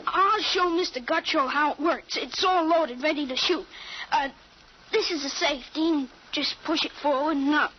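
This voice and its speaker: A woman plays a cool-headed thirteen-year-old boy with a very high voice, speaking in earnest, adult phrases. The style is old radio drama, on a dull recording with faint hiss. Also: moderate pace, lightly acted. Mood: calm, matter-of-fact. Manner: articulate, assured, adult vocabulary.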